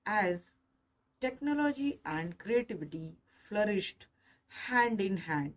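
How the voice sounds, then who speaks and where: A woman reading a scripted academic lecture to learners in halting, deliberate phrases. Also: medium pitch, no echo.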